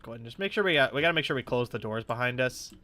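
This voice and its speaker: A man's voice: medium pitch, expressive, rapid-fire, pushed and bright. A man with a slightly stuffy nose doing casual, sweary let's play commentary, thinking aloud as he goes. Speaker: gaming YouTuber. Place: his room at home.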